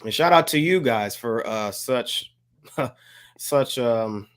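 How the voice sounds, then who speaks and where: A man with a mid-pitched voice chats as a panelist on a hip hop talk show. He speaks casually in slang and often repeats a phrase to drive it home. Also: animated, slow pace.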